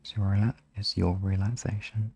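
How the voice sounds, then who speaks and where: A man hosting a live ASMR hypnosis session, guiding relaxation in a soft, soothing, gently instructing manner. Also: lowish voice, flat delivery, brisk, vocal fry, breathy edge.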